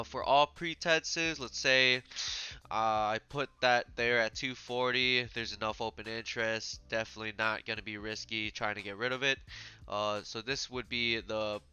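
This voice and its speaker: Male host, stuffy from a cold, bright crisp tone, loose tutorial delivery, lo-fi mic. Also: mid-range voice, dry recording. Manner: casual, filler-heavy explaining with frequent "uh". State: stuffy, a little sick. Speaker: trading channel host. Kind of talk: screen-share trading tutorial.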